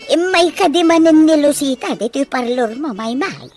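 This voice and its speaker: A woman's voice: high-pitched, lively intonation, normal speed, over music. A woman with a Filipino accent playing a gossipy, grumbling neighbor in a comedy radio drama.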